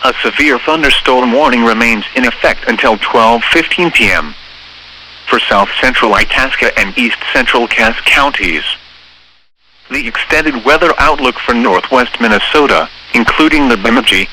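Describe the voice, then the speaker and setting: Synthetic male weather radio announcer, very bright and forceful, formal bulletin read over faint static. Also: medium pitch, moderate pace. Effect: synthesized text-to-speech voice. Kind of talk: scripted emergency weather bulletin. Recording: noisy weather radio broadcast.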